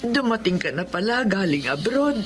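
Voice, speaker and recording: A woman voicing an elderly mother in a radio drama, speaking slowly and tearfully as she pleads for forgiveness. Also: medium pitch, very animated, background noise, lightly acted. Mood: remorseful. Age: older. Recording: background music.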